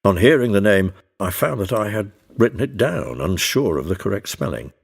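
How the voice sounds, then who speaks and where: A man giving measured, formal first-person narration in the dramatic manner of a period adventure story. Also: lowish voice, sing-song, gravelly, slightly rough. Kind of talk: dramatic scripted audiobook narration. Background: music under the narration.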